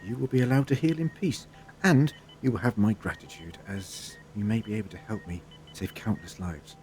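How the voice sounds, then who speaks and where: An actor playing a wry, calm, authoritative adventurer in an audio drama, speaking in a measured, gently probing way. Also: male voice, on the low side, faint music behind, natural voice, low-quality recording.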